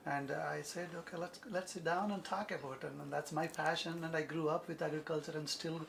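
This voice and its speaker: A man from India with an Indian accent, answering conversationally as an interview guest, earnest and somewhat halting. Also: fairly high pitch, steady tone, vocal fry, a bit bright, a little hiss or hum. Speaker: interview guest, agricultural scientist.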